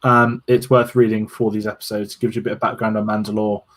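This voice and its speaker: A man's voice: lowish voice, level tone, fast talker, a bit bright. Man searching for words in chat. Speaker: podcast co-host. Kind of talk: casual chat.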